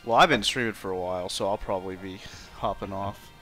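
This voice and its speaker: Bright-voiced man chatting on a stream. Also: sits low. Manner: loose, halting, slangy. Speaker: game streamer. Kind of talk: casual chat. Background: faint music.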